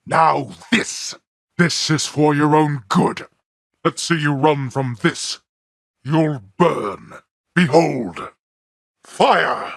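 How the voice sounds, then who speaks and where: A man's voice: very slow, pauses often, fairly bright tone, no echo, full character voice. An actor playing a stern, proud god of the dead who scorns his son, speaking with cold, imperious contempt in video game voice lines. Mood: furious. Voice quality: deep, raspy, gravelly. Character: stern proud male god of the dead, a harsh disapproving father. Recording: dry close studio recording.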